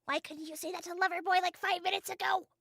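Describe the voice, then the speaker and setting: A woman's voice: very high voice, steady tone, fast talker, a bit bright, no echo, clean studio sound. A woman playing an upbeat, teasing teenage girl who encourages her friends in an audio drama. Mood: amused, encouraging. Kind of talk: fan audio drama acting.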